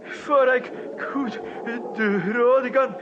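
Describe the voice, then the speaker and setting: An actor playing a grave, respectful, fatalistic Himalayan guide in a 1950s radio drama, speaking in halting, formal phrases on an old muffled recording. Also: male voice, very high-pitched, background noise. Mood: pained, shaken. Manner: halting, solemn, formal foreign-sounding phrasing.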